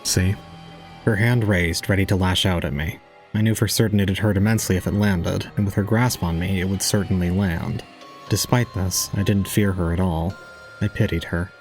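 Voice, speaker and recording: Man as brooding young male narrator, audio drama, deep voice, calm, unemotional, resigned, faint music. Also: moderate pace. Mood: detached, calm. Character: detached, resigned young male student recounting his own ordeal. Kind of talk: scripted first-person audio drama narration.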